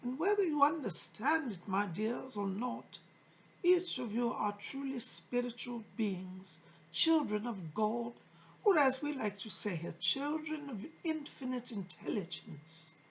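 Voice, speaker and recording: A gushing, genteel lady spirit played by a woman teaches with motherly affection. Her highish voice swoops theatrically up and down and drops into creaky tones as she delivers channelled wisdom. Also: expressive, unhurried, rough recording. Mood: earnest, encouraging. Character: Rose, effusive, motherly female spirit from the early 1900s.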